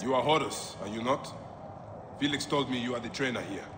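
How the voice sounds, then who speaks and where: A man playing a stoic, serious warrior with a terse, grave, measured delivery. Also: medium pitch, lightly acted. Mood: guarded, resolute. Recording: noisy ambient background.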